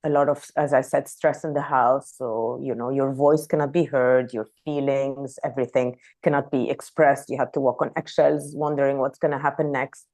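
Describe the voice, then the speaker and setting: A woman from Lebanon who grew up speaking Arabic, talking as a podcast guest in a reflective, soft-spoken way. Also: rumbling low, steady tone, normal speed, hardly any pauses. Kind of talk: casual conversational interview.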